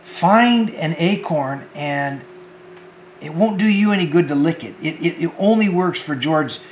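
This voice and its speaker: A man hosting a homemade children's show, talking gently and hesitantly to the kids and often repeating words. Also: fairly high pitch, expressive, unhurried, reverberant, a little hiss or hum.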